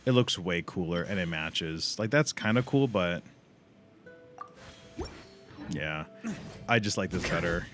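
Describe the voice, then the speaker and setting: A man's voice: low voice, animated, slow pace, long pauses, a bit bright, soft background music. A man chatting casually as a livestream host and gamer, rambling in loose slang with frequent swearing.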